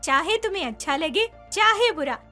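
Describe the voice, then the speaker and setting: An outspoken middle-aged neighbor woman from a cartoon story, voiced by a woman. She speaks earnestly in a very high, bright voice, with music playing softly behind. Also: lively intonation, moderate pace, heavily acted. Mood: reproachful. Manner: earnest, reproachful.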